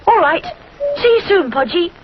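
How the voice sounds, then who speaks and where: A woman voicing an eager, friendly young bear cub for a children's story, with bright, childlike exclamations. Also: extremely high pitch, big pitch swings, moderate pace, lo-fi sound, slight background noise, voice pushed up. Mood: cheerful.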